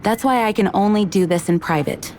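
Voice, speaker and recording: Earnest female knight commander for a game played by a woman, slightly low voice, formal, articulate, faint ambience. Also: fairly quick, a little mellow, slight background noise, close to an everyday voice. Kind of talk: video game voice acting.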